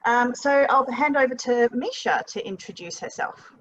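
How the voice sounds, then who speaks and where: Australian moderator on a lo-fi video call. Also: female voice, sits high, moderate pace. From Western Australia. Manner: many ums and uhs, informal. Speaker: panel moderator. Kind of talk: relaxed, hesitant panel hosting. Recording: dull lo-fi video call audio.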